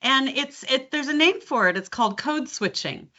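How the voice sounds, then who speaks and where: A woman with a Californian accent, a dialect coach, chatting in an interview and telling animated stories with frequent laughs. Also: high voice, normal speed, highs cut off. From California, United States. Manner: animated storyteller, laughs often. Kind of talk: casual interview chat.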